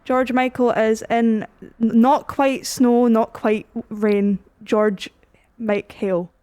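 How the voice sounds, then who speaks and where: A woman's voice: on the high side, fairly even intonation, slow pace, warm tone, clean tone, no echo. A woman joining in casual word-game banter on a podcast. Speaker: podcast panelist.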